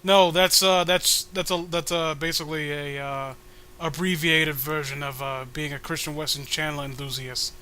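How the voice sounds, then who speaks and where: Male comedy podcast host, high and sharp-toned, reads aloud and riffs sarcastically in casual style. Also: fairly even intonation, moderate pace, slight background noise. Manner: sarcastic, joking. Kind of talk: comedic reading with casual commentary.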